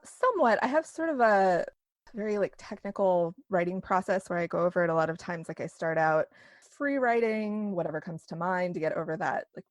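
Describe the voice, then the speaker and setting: A woman's voice: on the low side, a little fast, dry recording. A woman being interviewed as a novelist, chatting casually and peppering her talk with "like".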